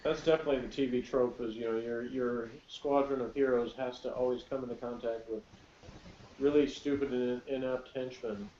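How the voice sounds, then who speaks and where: A man's voice: medium pitch, fairly even intonation, normal speed, mellow, a little hiss or hum. A man co-hosting a casual TV review chat in a joking, wry way, on a muffled recording.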